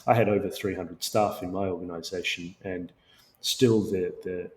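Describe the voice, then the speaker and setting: A middle-aged man with an Australian accent, born in Sri Lanka, giving measured, explanatory answers as a co-founder guest in a business interview. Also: sits low, steady tone, darkish tone, slight background noise. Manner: measured, explanatory, with occasional restarts.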